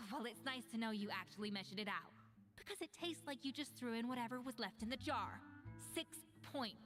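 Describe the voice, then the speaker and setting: A woman voicing an exacting, proud young master chef in an anime video game, crisp and blunt in her delivery. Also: on the high side, expressive, normal speed, in a large hall, music in the background, close to an everyday voice. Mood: blunt, critical.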